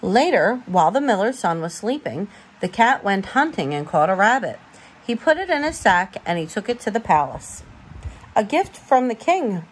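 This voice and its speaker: A woman reading a bedtime storybook aloud as a parent, expressive and informal, stumbling now and then over words. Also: mid-range voice, moderate pace, breathy edge, low-quality recording.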